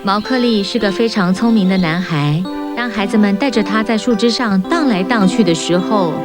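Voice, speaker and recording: Female storybook narrator for children, mid-range voice, scripted read with clear backing music. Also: mid-range voice, normal speed.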